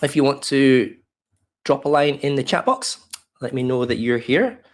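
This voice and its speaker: A friendly Australian man in his fifties hosts a live webinar from his home office, his voice slightly croaky from a cold. He greets people by name and chats casually, picking his way through the talk with "uh" and "um". The sound is a plain webcam call at a middle pitch. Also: animated, moderate pace. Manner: chatty, welcoming, loose with fillers. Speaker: webinar presenter. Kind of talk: live online workshop. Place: home office in a desk chair. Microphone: webcam video call.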